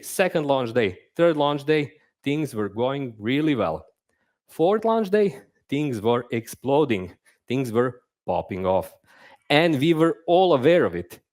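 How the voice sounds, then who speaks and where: A man's voice: medium pitch, darkish tone. A young man giving a conference talk on stage, conversational and self-deprecating. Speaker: conference speaker, game narrative designer.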